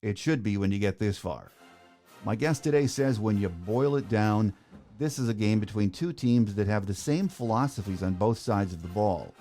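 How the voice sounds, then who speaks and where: A man's voice: lowish voice, expressive, moderate pace, mellow, soft background music. A man hosting a sports podcast, reading a prepared opening and then interviewing his guest with easy fillers. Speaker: podcast host.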